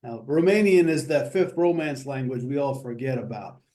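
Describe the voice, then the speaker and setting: A man's voice: medium pitch, normal speed, dark tone. A man with a New York accent introducing himself as a speaking coach on a video call, with wry jokes. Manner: wry, joking. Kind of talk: self-introduction.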